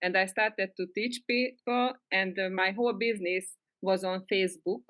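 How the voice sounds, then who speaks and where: A middle-aged woman with a Hungarian accent, sharing casually on a call in halting, giggly non-native English. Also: medium pitch, steady tone, a bit bright, no room sound. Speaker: spiritual teacher, client on a coaching call.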